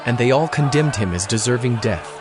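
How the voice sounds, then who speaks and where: A man of medium pitch reads scripture as solemn scripted narration. Prominent dramatic music runs beneath the voice. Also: expressive, brisk, crowd noise. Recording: loud dramatic music underneath.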